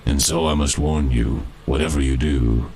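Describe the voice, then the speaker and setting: A man's voice: very deep voice, steady tone, a little mellow. A man voicing the skeleton of a dead old miner, grave and ominous, drawing out his warning in a spooky rhymed campfire poem. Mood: grave, haunted warning. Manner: slow, drawn-out, ominous delivery.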